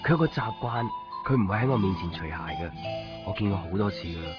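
Earnest young male shopkeeper, quick pace, lowish voice, Hong Kong Cantonese accent, radio drama narration. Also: animated. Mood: wry, chatty. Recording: dull 1990s radio, loud music behind. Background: music.

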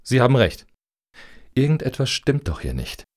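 Man as wry, animated applicant. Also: medium pitch, normal speed, background noise, lightly acted. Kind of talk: satirical radio play dialogue.

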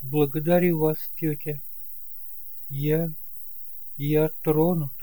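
Man playing a soft, hesitant young heir. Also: on the high side, level tone, slow pace, dry recording. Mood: shy, touched. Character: shy, polite young male heir. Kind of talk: audiobook drama acting.

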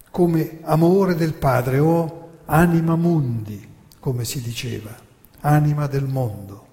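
A man giving a theatrical lecture, narrating deliberately and emphatically with dramatic pauses. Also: on the high side, dark tone. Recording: long hall reverb.

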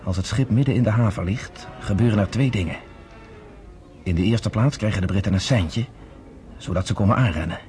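Male actor as confident resistance leader, low voice, courteous, formal, measured, persuasive, radio play. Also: dark tone, soft background music, lightly acted. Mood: calm, scheming. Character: confident, persuasive underground leader, former army captain, courteous.

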